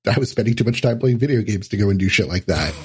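A man's voice: on the low side, expressive, fast, breathy edge. A man talking as a podcast guest in a casual, explanatory, lightly sweary conversational way.

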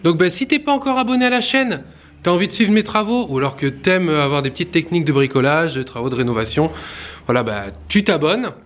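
A man's voice: a little high, lively intonation, fairly quick. A man in his thirties filming a casual walkthrough of his renovation work in a stone basement, explaining as he goes with many fillers and restarts. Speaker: renovation vlogger. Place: stone-walled basement under renovation, indoors. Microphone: handheld camera, close to face.

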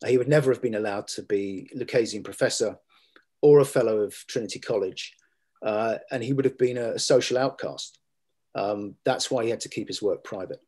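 A middle-aged man, a guest scholar, talking in a measured and halting way with many fillers and restarts, recorded over a video call from a room at home. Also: lowish voice, darkish tone, slightly rough. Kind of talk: academic discussion on a video call.

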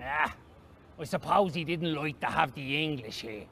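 A man voicing a flustered, slightly cross Irish uncle, an old soldier explaining haltingly in a story reading. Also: on the high side, bright, forceful tone, slight background noise, voice pushed down. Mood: matter-of-fact.

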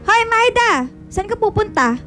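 A woman's voice: very high voice, big pitch swings, a little slow, fairly bright tone, full character voice. A woman playing a possessive, scheming, insistent teenage schoolgirl in a radio drama, lovesick for her friend. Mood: accusing. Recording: music bed behind the voice.

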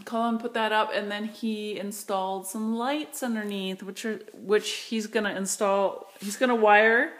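A woman's voice: mid-range voice, a bit bright. A woman filming with a handheld phone as she walks through her large indoor craft studio, chatting eagerly about her new shelves and storage. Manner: chatty, enthusiastic, rambling with fillers. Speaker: studio owner giving a tour. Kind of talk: casual walkthrough vlog. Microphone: handheld phone camera.

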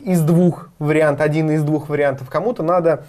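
A young man co-hosting a TV talk show, chatting casually with lively interjections in a studio. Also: a little high, fairly quick. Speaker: co-host. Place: TV studio set.

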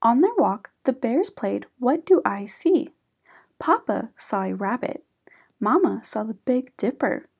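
A woman reads a picture book for children with very animated, expressive intonation. Her voice is soft-toned and mellow, with a husky edge. She takes her time, like a deliberate storyteller. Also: fairly high pitch. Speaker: read-aloud channel host.

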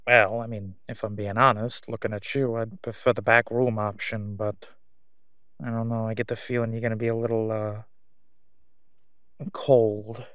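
A man acting a smug, sleazy crime boss in an audio roleplay, oily and taunting, with drawn-out, stalling phrases. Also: on the low side, level tone, a bit bright, clean tone, no room sound, studio quality, lightly acted. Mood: leering. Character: sleazy, menacing Gotham crime boss, a man, smugly haggling.

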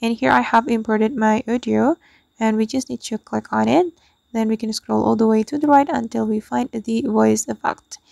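A woman's voice: a little high, steady tone, moderate pace, gravelly, dark tone, dry recording. A woman hosting a tutorial, narrating an app walkthrough step by step. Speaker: tutorial host.

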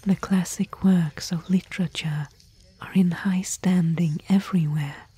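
A woman playing a thoughtful, bookish expedition companion in gentle, musing, whispery ASMR roleplay. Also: lowish voice, steady tone, unhurried, no room sound. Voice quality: breathy, whispery. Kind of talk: soft-spoken ASMR roleplay.

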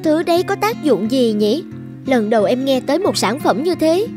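Actress as young cartoon office trainee, high-pitched, curious, polite, music underneath. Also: female voice, big pitch swings, moderate pace. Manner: polite, inquisitive. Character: curious, eager young female creative trainee. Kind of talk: dubbed cartoon dialogue.

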